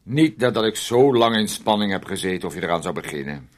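A man's voice: low-pitched, lively intonation, brisk. An actor playing a domineering man in a radio play, sarcastically needling and teasing his timid housemate, swinging between outbursts and muttering. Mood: mocking. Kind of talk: Dutch radio play acting.

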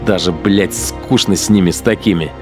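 A man's voice: sits low, moderate pace, close to an everyday voice. An actor playing a cynical, menacing male battalion commander in a radio drama, musing coldly with coarse, sneering contempt. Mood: scornful, threatening. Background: music behind the voice.

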